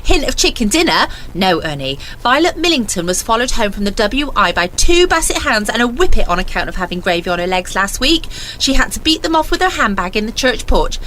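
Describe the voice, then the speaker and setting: A woman acts a pert young English village maid in a 1940s-set radio comedy. She is chatty, cheeky and matter-of-fact, with a fairly high, hugely animated voice and faint noise behind it. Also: fairly quick, hardly any pauses, rough recording. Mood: cheeky, firm.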